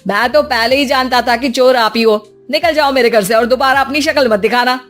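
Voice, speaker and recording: A woman's voice: high-pitched, fairly quick, soft background music, pitched above the performer's own voice, lightly acted. A woman voicing a callous grown son in a cartoon story, with a harsh, accusing manner. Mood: accusing, angry.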